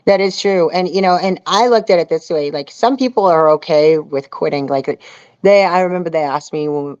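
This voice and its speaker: A woman's voice: low-pitched, moderate pace, a little mellow, no echo. Female interview guest, informal and emphatic, seeking agreement as she talks. Dry, slightly lo-fi sound. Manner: emphatic, conversational, often checking with listener. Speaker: guest, reality survival show contestant. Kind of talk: casual interview talk.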